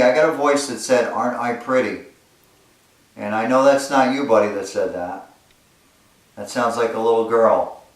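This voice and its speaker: A man investigating a haunted house, calling out to spirits in a coaxing, conversational way. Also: mid-range voice. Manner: coaxing, speaks to spirits directly. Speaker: paranormal investigator. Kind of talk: casual ghost-hunting talk. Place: inside a house. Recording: echoey room from far off, faint noise.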